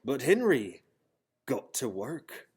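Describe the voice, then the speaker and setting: Male storybook narrator, theatrical, expressive, unhurried, very lively intonation. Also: medium pitch, a little hiss or hum. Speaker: storybook reader. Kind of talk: dramatic children's storybook narration.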